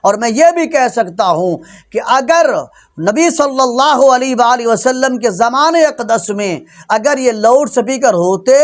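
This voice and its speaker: Male Islamic preacher, extremely high pitch, emphatic, admonishing, argumentative sermon delivery. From Pakistan. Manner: emphatic, admonishing, rhetorical questioning. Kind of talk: religious sermon, argumentative rebuttal.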